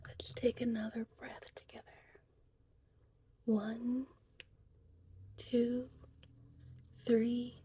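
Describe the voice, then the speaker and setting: A woman speaking mostly in a soothing, slow whisper, as a gentle mystical witch in an ASMR roleplay. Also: a little high, level tone. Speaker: ASMR creator playing a witch who teaches potion making. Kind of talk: whispered ASMR roleplay, guided breathing and narration. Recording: clearly noisy, vintage VHS-tape styled audio.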